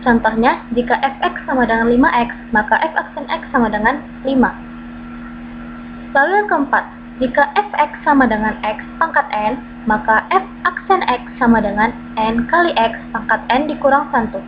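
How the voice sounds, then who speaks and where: A woman reading through a math lesson, stating formulas and worked examples in a steady, methodical, step-by-step way. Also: on the high side, moderate pace. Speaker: math tutor. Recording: dull lo-fi recording with clear background hum.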